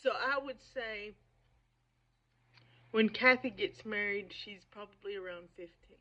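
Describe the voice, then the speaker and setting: A muffled, low-fidelity recording of a woman with a mid-range voice. She comments on literature casually and with strong opinions, wandering between points and checking in with the listener. Her speech has a slight impediment. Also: a bit bright. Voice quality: speech impediment, slows down on hard sh sounds. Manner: emphatic, digressive, conversational, asking "right?" and "okay?". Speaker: book commentator on own video channel.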